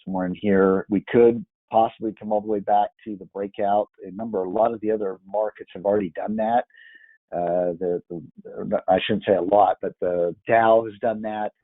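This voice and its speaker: Hoarse man explaining charts. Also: on the low side, fairly even intonation, moderate pace, no room sound, narrow, tinny audio. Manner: hesitant, many fillers and restarts. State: hoarse from yelling. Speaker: market analyst. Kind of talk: screen-share chart walkthrough.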